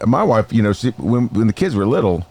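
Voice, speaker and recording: A middle-aged man with a cold-thickened deep voice, hosting a casual church podcast in a relaxed, joking way with many fillers. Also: fairly quick. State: congested from a cold, extra deep. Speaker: podcast host.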